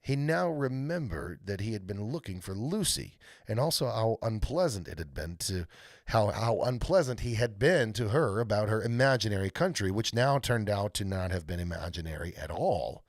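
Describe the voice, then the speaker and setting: A man reading a storybook aloud as a live host, narrating dramatically with deliberate pauses. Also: on the low side, big pitch swings, no echo, clean studio sound. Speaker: read-aloud storyteller hosting a live stream.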